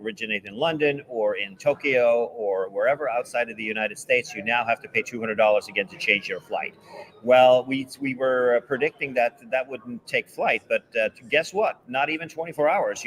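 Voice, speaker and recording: A man co-hosting a casual travel news chat over a video call, talking haltingly with many fillers. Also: medium pitch, a bit bright, low-quality recording. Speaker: co-host.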